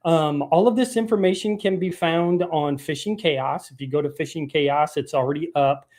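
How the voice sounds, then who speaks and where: Froggy-voiced fishing show host. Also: male voice, on the high side, normal speed, darkish tone. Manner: rambling, with many ums and restarts. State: froggy voice from a lingering cough. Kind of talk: live stream show talk. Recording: live stream broadcast.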